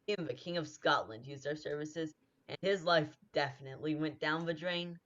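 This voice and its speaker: A woman's voice: low voice, moderate pace, fairly bright tone. A woman playing a cheerful salesy advertiser in a parody old-time radio commercial, on dull lo-fi audio. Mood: cheerfully salesy. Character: cheerful pitch-making assassin-for-hire advertiser.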